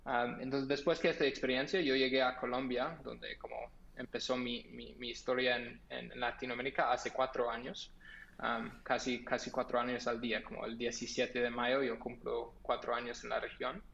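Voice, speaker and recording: A man's voice: medium pitch, level tone, normal speed, creaky, fairly bright tone, dull audio. A man from the United States with an American accent, a startup founder speaking as a podcast guest in Spanish, hesitant with many fillers and restarts. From Los Angeles, United States. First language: English. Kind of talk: podcast interview.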